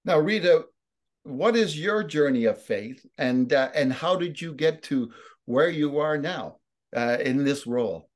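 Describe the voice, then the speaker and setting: A man's voice: fairly high pitch, lively intonation, a little slow. A man interviewing a guest, asking questions hesitantly with many fillers.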